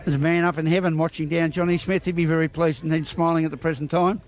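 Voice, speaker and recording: A man's voice: fairly high pitch, flat delivery, fast. An Australian man interviewing bowlers outdoors after a match, chatty and full of congratulations. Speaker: sports reporter. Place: outdoor bowling green.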